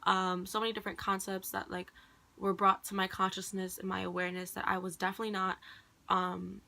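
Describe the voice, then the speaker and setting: Young woman, native Khmer speaker, bright voice, loose rambling vlog delivery. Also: medium pitch, little pitch movement, brisk, gravelly. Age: young adult. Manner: rambling, heavy on "like" and "um". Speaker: college student vlogger. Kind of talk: casual rambling monologue.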